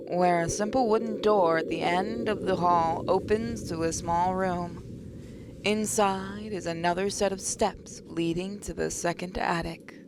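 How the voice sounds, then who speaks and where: A woman hosting a gaming video, reading a visual novel aloud dramatically with playful, expressive asides. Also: fairly low pitch, background noise.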